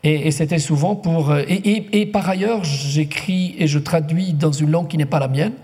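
A man whose first language is Italian leads a literary conversation, pausing and restarting as he searches for words. His voice is mellow and soft-edged. The sound carries a long reverberant tail from a hall. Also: a little high. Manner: thoughtful, halting, many restarts. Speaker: writer and translator moderating a literary talk. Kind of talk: hesitant conversational interview.

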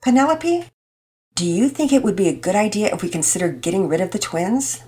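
A woman voicing a patient, gentle mother figure who speaks calmly and explains things like a teacher. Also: medium pitch, fairly quick, close to an everyday voice. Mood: concerned. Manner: calm, warm, teaching tone.

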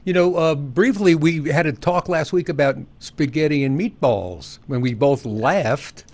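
A man's voice: fairly high pitch, a little slow, darkish tone. Man hosting a food talk show. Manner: hesitant, many uhs. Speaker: show host. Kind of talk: podcast interview hosting.

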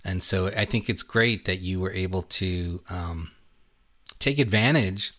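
A man hosting a casual film interview, phrasing his questions in a halting, reflective way. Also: sits low, bright, forceful tone. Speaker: interview host.

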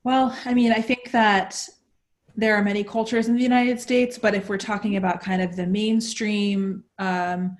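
Flat-toned articulate woman. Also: medium pitch, moderate pace. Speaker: panelist. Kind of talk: casual discussion.

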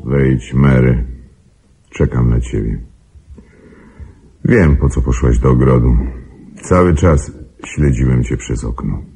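An actor playing a cold, calculating killer in a radio play, justifying himself with menacing regret in a gravelly, husky voice. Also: male voice, very deep voice, slow, long pauses, warm tone, faint music behind, exaggerated voice.

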